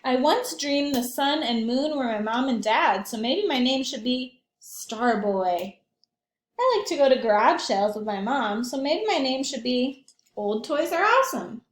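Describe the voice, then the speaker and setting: Woman reading as a high-voiced, spirited storybook boy. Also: lively intonation, unhurried. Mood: proud, eager. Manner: earnest, emphatic storybook narration. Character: spirited young boy longing for his own name. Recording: echoey room.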